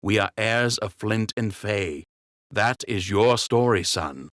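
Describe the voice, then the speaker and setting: A man voicing a wise, dignified rabbit king who speaks in a measured, warmly stern way in an audiobook. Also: lowish voice, no echo, studio quality. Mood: gently stern.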